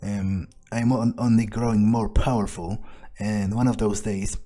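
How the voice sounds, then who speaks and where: A man voicing an arrogant, scheming male vampire in video game roleplay, haughty and deliberate as he thinks aloud. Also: fairly low pitch, steady tone, warm tone. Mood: musing, smug.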